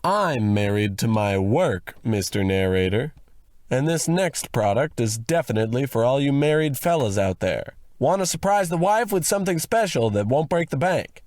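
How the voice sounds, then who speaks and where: Man as old-time radio ad pitchman, comedy; lively swooping patter, very dry studio sound. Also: moderate pace, no room sound, close to an everyday voice. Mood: salesy. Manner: salesman's patter. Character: salesy radio announcer pitching to married men.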